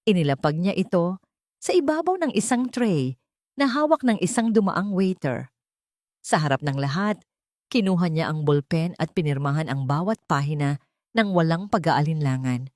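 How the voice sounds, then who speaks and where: Female audio-story narrator, dramatic scripted read, lower register, very dry sound. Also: darkish tone, dry recording.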